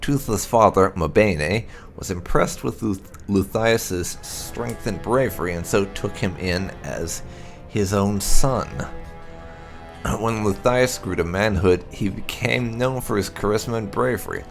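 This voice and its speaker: Guy with a really deep gravelly voice reading game lore, slow solemn storyteller vibe, soft music under it. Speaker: gaming channel host.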